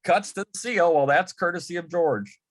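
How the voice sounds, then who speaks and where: A man telling anecdotes as a guest on a panel, in a halting way, often repeating words and restarting his sentences. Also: high voice, slight background noise. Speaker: panel guest, former personal assistant to an entertainer. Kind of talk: anecdotal storytelling in a panel interview.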